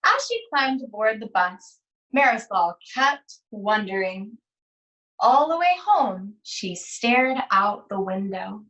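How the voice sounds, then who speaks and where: A woman teacher reading a children's storybook aloud, with deliberate, expressive phrasing and pauses. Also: medium pitch, recorded from a distance, dull audio.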